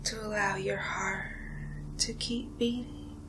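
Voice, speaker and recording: Woman acting a smug vampire villainess, breathy asmr whisper, crawling hypnotic pace, clear noise behind her. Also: mid-range voice, steady tone, natural voice. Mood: hypnotic, soothing menace. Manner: slow, hypnotic, lingering pauses and repetition. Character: condescending seductive female vampire feeding on human prey.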